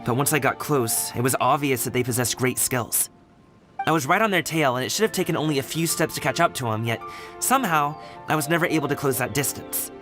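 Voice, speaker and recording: A man's voice: mid-range voice, brisk, music in the background, natural voice. An actor playing an earnest young man at odds with his father, who sounds a little weary and sighs often. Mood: confident. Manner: earnest, a bit weary, sighing. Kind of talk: game voice acting.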